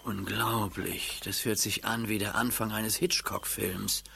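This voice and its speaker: An actor playing a formal, guarded man in an old German radio play, speaking slowly and deliberately. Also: fairly low pitch, level tone, gravelly, sharp tone, full character voice. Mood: astonished. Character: slow, formal, guarded man addressing a lady. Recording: old radio recording with noise.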